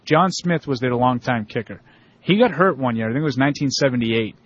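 A man's voice: mid-range voice, lively intonation, brisk, slightly rough, dull audio. A middle-aged man co-hosting a sports podcast in casual chat, reeling off old football history and statistics. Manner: reels off old stats and history.

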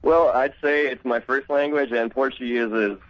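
A man's voice: medium pitch, steady tone, normal speed, no echo. A man from Brazil calling in to a radio show, blunt and opinionated. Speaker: caller. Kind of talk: phone-in call. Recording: dry, dull phone-line audio.

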